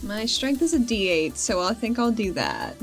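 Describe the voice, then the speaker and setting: A woman's voice: a little high, wildly swooping pitch, moderate pace, soft background music. A woman playing a tabletop role-playing game, chatting casually and joking as she improvises. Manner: playful, joking.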